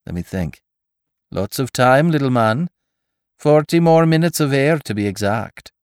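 A man's voice: medium pitch, lively intonation, unhurried, clean tone, no echo, studio quality, pitched above the performer's own voice. A man voicing a nervous, sarcastic photographer who exclaims and grumbles through danger in a dramatised story. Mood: wry, nervous. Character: nervous, sardonic male photographer in mortal danger. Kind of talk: dramatised audiobook character voice.

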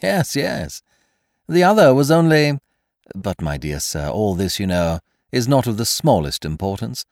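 A man acts out a smug gentleman villain from a storybook. He taunts with theatrical courtesy and teasing mockery, his pitch swinging sharply up and down in a dry, close recording. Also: sing-song, a little slow, no echo. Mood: smug, playful. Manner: mock-polite, theatrical, teasing.

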